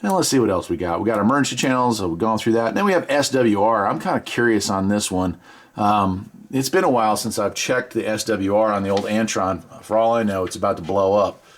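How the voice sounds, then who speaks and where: Low-voiced American man, casual and folksy. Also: lively intonation, fairly quick. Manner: folksy, thinking aloud. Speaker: radio hobbyist video presenter. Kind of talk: casual product demonstration.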